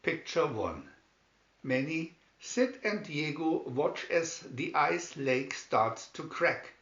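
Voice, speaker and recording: Slow, higher-voiced man reading a storybook. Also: big pitch swings, fairly bright tone. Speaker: reader of picture-story booklets. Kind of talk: slow scripted storybook narration. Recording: dull lo-fi, roomy echo.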